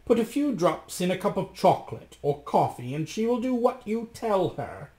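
A man's voice: high-pitched, very animated, moderate pace, mellow, voice pushed up. A man voicing a sinister, commanding evil magician in a storybook reading, with an imperious, dramatic manner. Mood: sly, insistent. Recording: echoey room.

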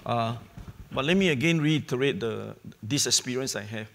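A middle-aged man, a charity leader speaking on a conference panel, in an earnest, measured way with pauses and restarts, on a lapel mic. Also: medium pitch, vocal fry, fairly bright tone. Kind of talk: panel discussion. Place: conference hall stage.

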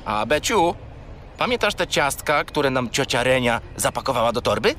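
Cheeky small furry chatterbox for children's audio drama: whiny, rambling, very animated, sharp-edged. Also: male voice, medium pitch, moderate pace, a little hiss or hum. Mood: sheepish, evasive. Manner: rambling, naively evasive, whiny. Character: naughty, chatty, cheeky little furry toy companion. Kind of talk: children's radio play acting.

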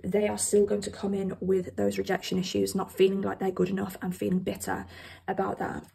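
A woman with a croaky voice left over from a cold, chatting to viewers as she gives a pick-a-card tarot reading at a table. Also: mid-range voice, monotone, brisk, dark tone, a little hiss or hum. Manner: chatty, addresses viewers directly. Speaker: tarot reader. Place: indoors at a table with cards and candles.

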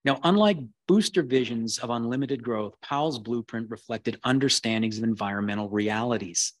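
A man giving a keynote history lecture, reading in a measured way with occasional restarts. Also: medium pitch, no echo. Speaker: keynote speaker.

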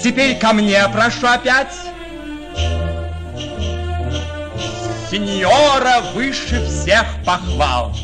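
A man's voice: high voice, expressive, takes it slowly. An actor playing an ardent, gallant young nobleman posing as a dancing master, declaiming verse theatrically in a radio play. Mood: courtly. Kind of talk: Russian radio play acting. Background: music.

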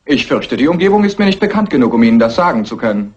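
An actor playing an evasive, apologetically polite gentleman suspect in a dubbed classic crime film. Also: medium pitch, big pitch swings, fast talker, close to an everyday voice. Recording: muffled old film soundtrack.

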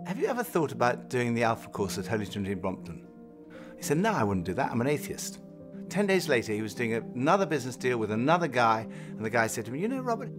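An older man tells stories in an earnest teaching talk, his pitch rising and falling with real liveliness. Music plays clearly beneath his voice. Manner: earnest storytelling, acts out quoted voices. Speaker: course presenter. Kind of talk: recorded Bible teaching talk. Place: home-like room indoors.

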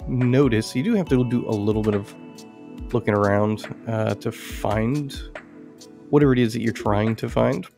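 Gravelly man, casual gameplay commentary. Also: mid-range voice, normal speed, darkish tone, soft background music. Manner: musing, thinking aloud. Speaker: game streamer reviewing indie games.

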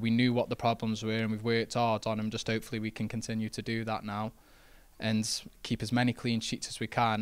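A young footballer speaks in a low, very level voice that barely rises or falls. His tone is smooth and clear, close on a handheld mic with little room sound. He talks modestly, with plenty of fillers. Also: no echo. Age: young adult. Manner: relaxed, modest, many fillers and restarts. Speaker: footballer after a match. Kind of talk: post-match interview. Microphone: handheld interview mic.

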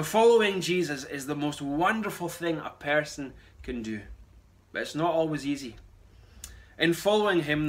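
A man's voice: on the high side, a bit bright. A man in his thirties, a pastor giving an earnest, explanatory sermon in a conversational style. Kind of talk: sermon, conversational address to camera. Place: small bright room at home. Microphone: phone a short distance away.

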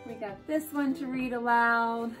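A woman's voice: on the high side, fairly even intonation, measured pace, a bit bright. American woman chatting over background music. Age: 30s. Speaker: homeschooling mother and vlog host. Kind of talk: casual unboxing vlog chat. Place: small furnished room at home, indoors.

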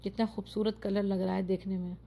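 A woman's voice: medium pitch, little pitch movement, a little fast, vocal fry, very noisy. A woman narrating her home cooking tutorial, chatty and full of praise for the dish, giving viewers step-by-step tips. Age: adult, mother of children. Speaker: home cook and channel host.

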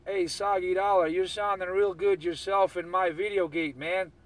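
Man, slightly high pitch, CB operator, slangy casual talk, repeated catchphrases. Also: steady tone, moderate pace. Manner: repetitive, chant-like catchphrases. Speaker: CB radio operator recording his contacts. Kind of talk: casual CB radio chatter with slang.

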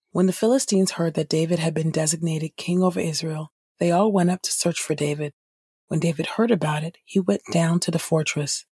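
Husky, low-voiced woman reading scripture. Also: mellow, no room sound, clean studio sound. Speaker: podcast host, scripture reader. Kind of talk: scripted audio Bible reading.

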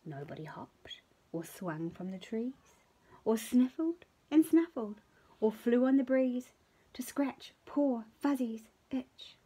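A middle-aged woman reads a children's story expressively, with playful drawn-out emphasis and hushed moments. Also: on the high side, lively intonation, breathy edge, low-quality recording. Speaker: picture-book reader. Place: small furnished room at home.

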